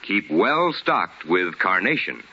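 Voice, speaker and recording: A man's voice: deep voice, very animated, slow pace, very noisy. An American man announcing and hosting in formal, polished, scripted 1950s radio style with emphatic delivery. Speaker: radio host and sponsor announcer. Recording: dull old radio broadcast with music.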